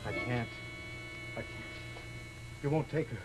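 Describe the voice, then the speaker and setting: An actor playing a desperate, frightened husband, agitated and halting, in melodramatic old-time radio horror style. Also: male voice, mid-range voice, natural voice. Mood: desperate protest. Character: desperate, frightened husband bargaining with the Devil for wife. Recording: vintage radio drama, music behind.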